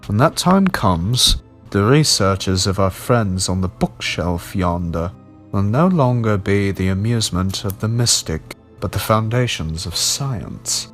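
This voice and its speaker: A man voicing a learned, knowing gentleman collector in a horror story, lecturing formally and deliberately. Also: on the low side, animated, faint music behind. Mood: pedantic, assured.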